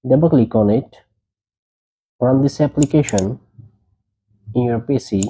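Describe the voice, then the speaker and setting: A man's voice: mid-range voice, a little slow, mellow, a little hiss or hum, rough recording. A man presenting a tech tutorial, giving short, plain step-by-step instructions.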